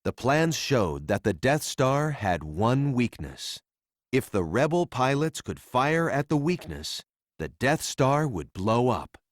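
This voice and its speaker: A man narrating a children's read-along story in a simple, deliberate storytelling manner for young readers. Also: mid-range voice, very animated, dry recording, clean studio sound.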